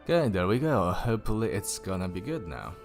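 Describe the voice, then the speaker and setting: A man streaming a casual story reading, raising his pitch for the characters and stopping to laugh and swear at typos. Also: sing-song, fairly quick, faint music behind. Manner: lifts pitch for quoted characters. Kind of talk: casual livestream book reading.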